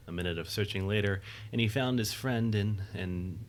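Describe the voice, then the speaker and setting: A man's voice: on the low side, normal speed. A man reading scripted narration for an audio drama.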